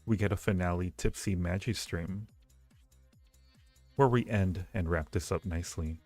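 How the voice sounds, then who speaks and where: Low-voiced man, playful livestream host. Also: normal speed, a little mellow. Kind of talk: casual livestream chat with viewers. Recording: roomy echo, faint music behind.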